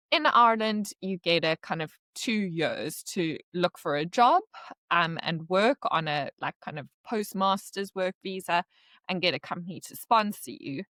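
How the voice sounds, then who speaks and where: A woman's voice: lowish voice, bright, forceful tone, no room sound. A woman in her thirties with a South African accent, chatting casually as a podcast guest, rambling with lots of "like" fillers. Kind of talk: casual interview chat.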